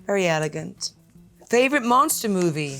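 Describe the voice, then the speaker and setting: A middle-aged woman with a Welsh accent chatting animatedly in a quick question game, gasping and playfully emphatic. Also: mid-range voice, animated, fairly bright tone, soft background music. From Wales. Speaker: actress, interview guest. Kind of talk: casual rapid-fire chat.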